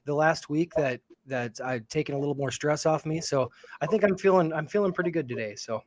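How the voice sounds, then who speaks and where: A man in his forties co-hosting a casual livestream chat, speaking in a reflective, halting way with many fillers. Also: on the high side, no room sound. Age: 40s. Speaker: livestream co-host.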